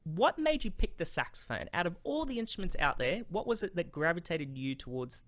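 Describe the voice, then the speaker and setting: A man's voice: high voice, big pitch swings, a little fast, a bit bright. A man hosting an interview over a video call, upbeat and friendly, with many fillers. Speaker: host.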